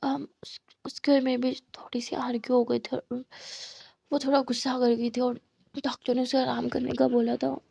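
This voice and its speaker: Woman doing a hushed, pleading young woman. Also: sits high, flat delivery, normal speed, vocal fry, husky, no room sound, heavily acted. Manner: soft, pleading, near whisper. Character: soft-spoken apologetic young woman.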